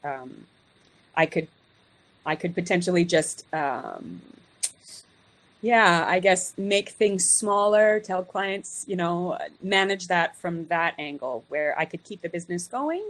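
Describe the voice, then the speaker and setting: A woman with a somewhat low voice, speaking on a video call. She thinks aloud, hesitant and searching, with many pauses and restarts. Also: fairly bright tone. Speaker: guest being coached.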